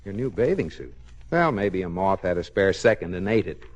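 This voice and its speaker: Lowish, animated radio husband. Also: male voice, normal speed. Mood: teasing, exasperated. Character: exasperated, teasing suburban husband, fussily prudish. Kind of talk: 1940s radio sitcom acting. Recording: muffled vintage radio broadcast.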